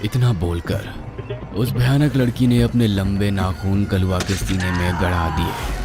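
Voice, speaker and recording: A man telling a scripted horror story as a dramatic narrator. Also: deep voice. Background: music.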